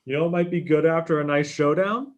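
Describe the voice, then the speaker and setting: A man's voice: a little high, fast talker, lo-fi sound, lightly acted. A man playing a laid-back young friend in a table read over a video call. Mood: easygoing. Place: indoor room on a video call.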